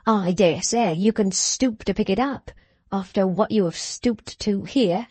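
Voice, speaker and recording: Woman reading a bullying, mocking older rich man in a storybook; dark dry voice. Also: medium pitch, unhurried, slightly rough, dry recording. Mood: scornful.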